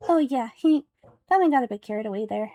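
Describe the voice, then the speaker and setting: A woman voicing a tiny, excitable, childlike female fairy companion from a video game, perky and boastful with a squeaky voice. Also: fast, dry recording, faint music behind, close to an everyday voice. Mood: excited, proud. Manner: perky, boastful, speaks of self in third person.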